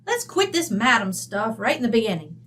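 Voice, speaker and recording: A woman's voice: on the high side, very animated, a little fast, a bit bright, breathy edge, full character voice. A woman playing a brash, folksy, middle-aged rancher widow with an American West accent, blunt, bossy and slangy, in an old-time radio drama. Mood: brisk, hearty.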